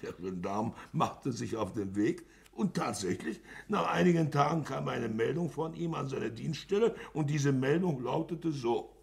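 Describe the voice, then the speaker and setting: A male actor in a German radio play, playing a jovial, sentimental man who spins anecdotes in an animated, drawn-out way. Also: on the high side, gravelly, heavily acted.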